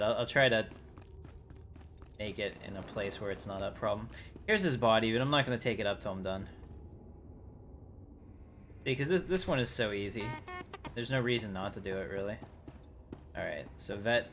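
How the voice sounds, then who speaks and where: Laid-back man streaming, fairly low voice. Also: moderate pace, pauses often, fairly bright tone, faint music behind. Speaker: game livestreamer. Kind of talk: casual live game commentary. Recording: clear game noise behind the voice. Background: video game ambience.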